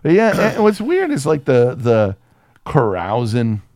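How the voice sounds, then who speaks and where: A man's voice: mid-range voice, huge pitch swings, a little slow, a little mellow, no echo, studio quality. A man co-hosting a film podcast, chatting casually with profane, joking banter.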